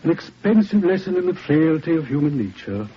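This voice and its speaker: A man's voice: on the high side, sing-song, a little fast, breathy edge. Elderly, fussy, dignified clergyman. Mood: fussy, resigned. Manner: hesitant, fussy, clipped phrases. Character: dignified elderly English clergyman, mild and regretful. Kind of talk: 1940s radio acting. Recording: muffled noisy 1940s radio recording.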